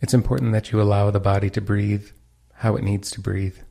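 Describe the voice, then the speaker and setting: A man leading a guided meditation, giving calm, gentle instructions with pauses. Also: lowish voice, vocal fry.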